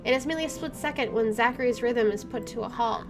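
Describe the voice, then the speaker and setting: A woman's voice: on the high side, fast talker. A woman hosting a let's play, reading story narration aloud and adding casual asides. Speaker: gaming video host. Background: game music.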